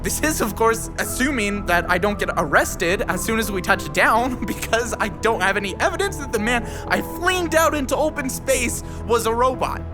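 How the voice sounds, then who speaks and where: A man's voice: very high voice, animated, normal speed, hardly any pauses. An actor playing an anxious, wry young man aboard a spaceship in an audio drama, with music underneath. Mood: wry, awkward. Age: young adult.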